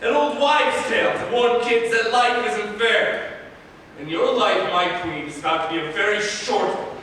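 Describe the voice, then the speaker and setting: A man's voice: high voice, normal speed, sharp tone. A man playing a gloating, bitter, vengeful villain in a staged play reading, with a mocking, theatrical delivery. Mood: furious, scornful. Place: large echoing room, staged reading. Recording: echoey hall from far, faint noise.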